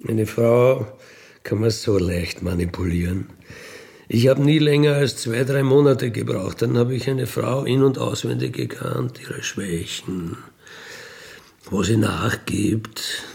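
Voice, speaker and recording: A man's voice: medium pitch, slow pace, vocal fry, a little mellow. A middle-aged man with an Austrian accent tells his own story casually, in a matter-of-fact, unapologetic, colloquial way. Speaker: former burglar telling his life story. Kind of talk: casual first-person storytelling in a radio feature.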